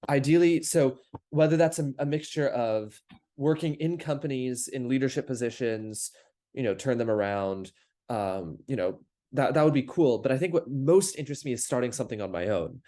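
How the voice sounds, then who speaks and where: A young man with a middle-pitched voice and an American accent, raised in Paris, talks as a guest on a casual podcast. He is articulate and reflective and builds his thoughts into long, flowing answers. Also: moderate pace, dark tone. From France, grew up in Paris. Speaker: podcast guest, startup founder. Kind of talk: casual conversational interview.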